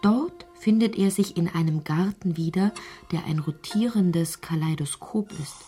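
A woman narrating a literary audio drama in a breathy voice that often drops toward a whisper, reciting deliberately and poetically. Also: lowish voice, warm tone, faint music behind.

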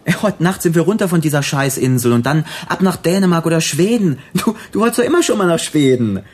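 Volatile, sneering robber with wildly swinging pitch. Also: male voice, expressive, quick pace, a little mellow. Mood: agitated, pleading. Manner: crude, sneering, slangy, taunting. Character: volatile, menacing male armed robber on the run. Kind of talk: radio drama acting.

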